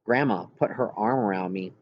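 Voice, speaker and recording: A man reading a story aloud as an audiobook narrator, with an expressive scripted delivery. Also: fairly low pitch, normal speed, muffled recording, slight background noise.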